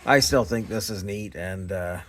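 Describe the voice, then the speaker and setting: A man's voice: fairly low pitch, measured pace, fairly bright tone, slight background noise. A Canadian man talking in a casual, unscripted vlog style, rambling and hesitant in a self-effacing way. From Canada, small-town Ontario. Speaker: scrap recycling vlogger.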